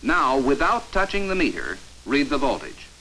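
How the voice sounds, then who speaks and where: An American man delivering scripted instructional narration for a 1950s training film in an authoritative, measured, emphatic announcer manner, on an old film soundtrack. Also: mid-range voice, sing-song, sharp tone, a little hiss or hum, poor audio.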